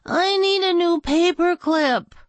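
A woman's voice: very high-pitched, takes it slowly, no room sound, voice pushed up. A woman voicing an anxious, apologetic schoolgirl in a children's story, pleading and flustered. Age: child figure played by an adult.

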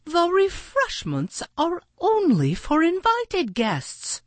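A bossy female heron from a storybook, played by a woman. She scolds in a voice pitched sharply upward, with big swings of tone. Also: wildly swooping pitch, slow, no room sound, heavily acted. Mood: scolding.

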